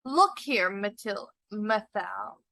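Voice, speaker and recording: A woman's voice: mid-range voice, expressive, takes it slowly, gravelly, pushed and bright, no room sound, clean studio sound, exaggerated voice. A woman voicing an exasperated but well-meaning husband in a storybook, insistent and questioning. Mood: coaxing.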